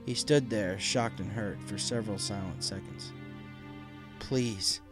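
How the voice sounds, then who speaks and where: A man's voice: on the low side, moderate pace. A man narrating a dramatic story from a script as an audiobook reader. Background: faint music.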